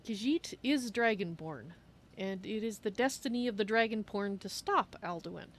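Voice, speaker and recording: A woman's voice: mid-range voice, animated, normal speed, close to an everyday voice. A woman roleplaying a courteous female cat-folk archmage in a fantasy game, speaking of herself in the third person. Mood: earnest. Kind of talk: in-character game roleplay on a livestream. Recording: faint noise and game ambience.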